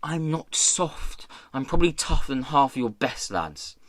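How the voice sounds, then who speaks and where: A man's voice: medium pitch, expressive, a little slow, a bit bright, dry recording. A man voicing a desperate, tough girl prisoner. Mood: desperate, defiant. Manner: pleading yet defiant. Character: desperate, tough, defiant young woman prisoner.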